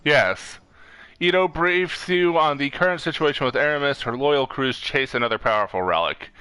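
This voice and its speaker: A man's voice: fairly high pitch, moderate pace, bright, forceful tone, clear, resonant tone, dry recording. A man streaming a game, talking in an informal, playful way with casual commentary. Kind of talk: casual gaming chat and commentary.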